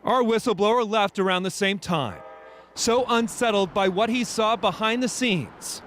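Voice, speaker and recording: An American man delivers a scripted investigative news report. His voice is notably high for a man, in the manner of a TV reporter. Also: normal speed, a bit bright, slight background noise.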